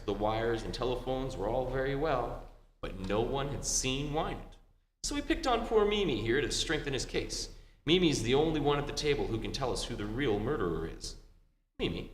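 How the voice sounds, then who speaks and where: An actor playing a shrewd, wisecracking detective who explains things drily in an old-time radio play. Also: male voice, mid-range voice, lightly acted. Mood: confident. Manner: deliberate explaining, dry wisecracks. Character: shrewd, wisecracking male detective unmasking a killer. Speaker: amateur stage actor. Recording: echoey hall with faint hum.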